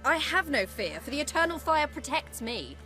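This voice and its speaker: Game role: bitter zealous daughter, slightly high, cutting. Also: female voice, sing-song, moderate pace, pushed and bright, soft background music, exaggerated voice. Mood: defiant. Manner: firm, cutting. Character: defiant, devout, bitter young daughter. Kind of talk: video game cutscene acting.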